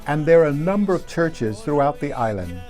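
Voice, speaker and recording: A man narrating a travel show in a measured, descriptive scripted voice-over. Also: a little high, sing-song, darkish tone, soft background music.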